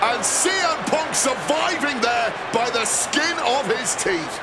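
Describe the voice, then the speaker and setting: Guy doing dramatic wrestling play-by-play, really high pitched, big crowd and music behind him. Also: sing-song, slow pace. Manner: dramatic, wordy phrasing. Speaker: wrestling commentator. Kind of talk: play-by-play sports commentary. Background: crowd roar and music.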